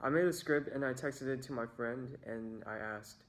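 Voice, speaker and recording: A man's voice: medium pitch, moderate pace. A young man narrating a scripted storytime about his own experiences as a video creator. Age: young adult.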